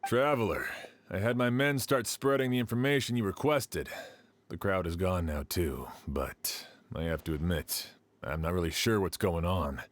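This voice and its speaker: A man's voice: low voice, normal speed, dry recording, close to an everyday voice. A man voicing a skeptical male official in a video game who questions every claim. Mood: earnest. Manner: questioning and doubtful.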